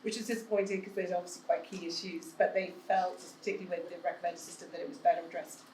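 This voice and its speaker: A woman talks earnestly on a live panel with a low voice, often pausing on fillers. She holds a handheld mic, and the room rings with noticeable echo under a faint ambient hum. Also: fairly even intonation, a little mellow. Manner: earnest, measured, with many hedging fillers. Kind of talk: panel discussion. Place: event room with an audience.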